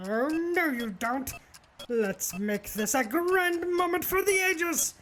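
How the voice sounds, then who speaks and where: A woman's voice: high-pitched, animated, takes it slowly, a bit bright, slight background noise, exaggerated voice. A woman voicing a scheming, mocking moth-man villain in a theatrical cartoon style. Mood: gloating. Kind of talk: animated fight-show character acting.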